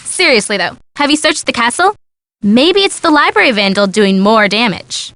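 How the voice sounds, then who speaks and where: A woman's voice: a little high, very animated, normal speed, a bit bright, no room sound. An actress playing a bubbly, imaginative young woman in a video game, gushing and theatrical. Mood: teasing. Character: bubbly, dramatic, imaginative young woman, sleuth's best friend. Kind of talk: scripted video game dialogue.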